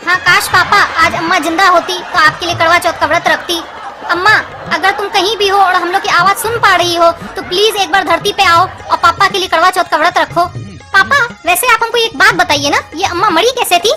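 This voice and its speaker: An emotional young cartoon girl voiced by a woman pleads earnestly. Music plays clearly behind her. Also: very high voice, steady tone, normal speed. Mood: sad, pleading. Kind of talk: cartoon skit dialogue.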